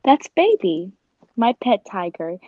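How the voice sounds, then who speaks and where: An actress playing a sly, scheming circus tiger tamer in a 1940s-style radio play, speaking in a pointed, probing way. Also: female voice, mid-range voice, very animated, takes it slowly, mellow, no echo, highs cut off.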